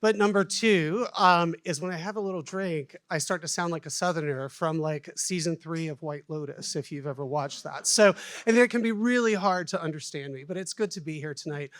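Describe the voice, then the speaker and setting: Folksy Southern American man, high voice. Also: brisk, few pauses, a bit bright. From United States. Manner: folksy and self-deprecating, lots of fillers. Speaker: panel moderator. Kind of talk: conference panel introduction.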